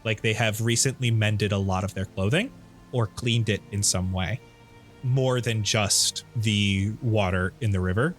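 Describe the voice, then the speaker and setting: A man's voice: on the low side, measured pace, soft background music. A man narrating a tabletop roleplaying game as the game master, describing what characters do and sense.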